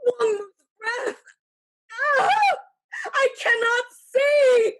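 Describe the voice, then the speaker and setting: A woman's voice: very high-pitched, steady tone, extremely slow, full character voice, low-quality recording. A woman playing a villainous, imperious sorceress in a staged play reading, with a grandiose, incantatory delivery. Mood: agonized. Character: villainous imperious sorceress commanding spirits and dark magic.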